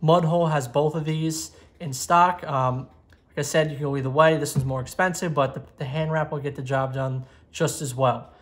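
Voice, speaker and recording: A young man in his twenties walks through a hands-on tutorial at a workbench, giving steady step-by-step instructions. His voice is somewhat high for a man. It was recorded close on a lapel mic indoors. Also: moderate pace. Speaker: magazine editor giving a tutorial. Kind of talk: how-to tutorial.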